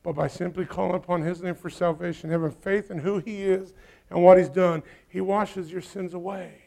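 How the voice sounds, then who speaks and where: A pastor preaching a sermon, swinging between loud urgent peaks and low quiet asides. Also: male voice, steady tone, normal speed, vocal fry.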